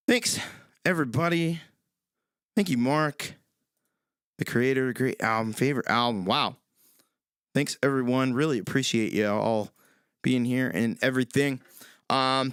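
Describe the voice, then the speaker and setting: A man hosting his own live stream, chatting quickly and casually with viewers, his voice worn out from singing. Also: expressive, a bit bright, clean tone, no echo, studio quality. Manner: quick, low-key, lots of ums and uhs. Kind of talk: casual chat with viewers between songs.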